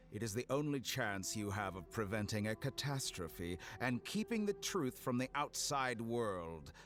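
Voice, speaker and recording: Game villain: a low, unhurried, mockingly courteous mad scientist, sweeping intonation, music behind. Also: male voice. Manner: slow, deliberate, mockingly courteous. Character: suave, sadistic villain scientist, calm and mockingly polite.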